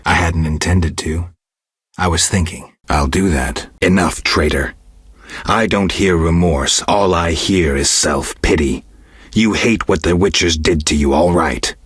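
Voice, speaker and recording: Game lead: stoic, world-weary monster hunter, extremely low and rasping, blunt yet protective, terse delivery. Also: male voice, dry recording, heavily acted. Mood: stern, curt. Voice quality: gravelly, husky low voice. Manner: terse, restrained, dry delivery. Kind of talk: video game character dialogue.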